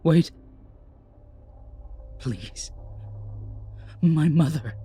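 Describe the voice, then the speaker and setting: Husky, drained captive cultist. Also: male voice, a little high, dark tone, soft background music, full character voice. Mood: desperate, pleading. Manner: halting, pleading. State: drained, weary. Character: tormented, defeated male captive blood cultist. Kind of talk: fantasy audio drama acting.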